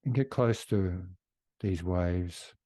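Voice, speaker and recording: A man leading a guided meditation in a soothing, unhurried way with long pauses between phrases. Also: low-pitched, warm tone, no echo.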